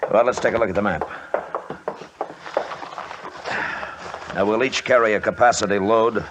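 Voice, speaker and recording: An actor playing a steady, authoritative mountain expedition leader who narrates his adventure in 1950s radio drama style, measured and grave. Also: fairly low pitch, dull audio, natural voice. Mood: brisk, commanding. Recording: noisy vintage 1950s radio recording.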